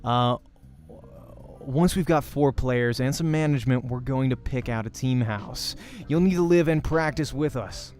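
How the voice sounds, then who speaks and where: A man's voice: medium pitch, measured pace, a little mellow. A man hosting a let's play, reading visual novel narration aloud expressively like a voice actor. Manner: acts out dialogue while narrating. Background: game music.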